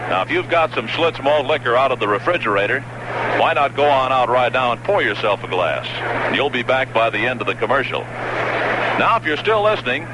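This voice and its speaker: An American man calling baseball play-by-play for 1960s radio in a conversational, folksy way. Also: mid-range voice. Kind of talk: 1960s radio sports commentary with live sponsor reads. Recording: noisy vintage 1960s AM radio broadcast.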